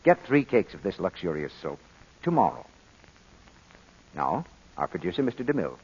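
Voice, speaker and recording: A man announcing a vintage radio advertisement with a polished sales pitch. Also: huge pitch swings, normal speed, gravelly, darkish tone, a little hiss or hum. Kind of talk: 1930s radio ad read. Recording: dull old radio recording.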